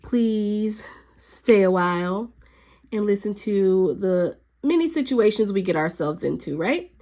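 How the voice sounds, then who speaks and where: A woman hobby vlogger who is a little sick and a bit raspy, chatting casually with lots of fillers while she works at her craft desk at home. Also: medium pitch, measured pace. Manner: chatty, relaxed, frequent fillers.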